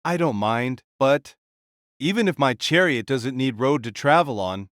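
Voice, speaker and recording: Boisterous, booming warrior king. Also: lively intonation, a little slow, a bit bright, no room sound, clean studio sound. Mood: amused, bold. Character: boisterous, self-assured warrior king spirit, a big man. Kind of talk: dramatised audio fiction acting.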